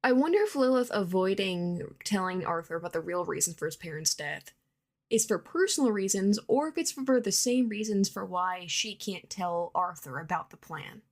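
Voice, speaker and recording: A woman's voice: medium pitch, expressive, moderate pace. A woman recording an earnest, enthusiastic fan commentary as a listener's voice message. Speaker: podcast listener sending voice message.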